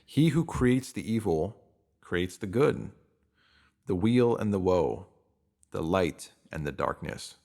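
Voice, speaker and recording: A man's voice: lowish voice, a little mellow. A man reading a scripted self-help piece, addressing the listener directly. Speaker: reader of written posts.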